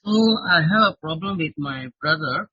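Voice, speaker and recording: A man's voice: fairly high pitch, moderate pace, breathy edge. A man from India calling into a webinar, giving polite, brief answers. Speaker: caller. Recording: muffled online call audio.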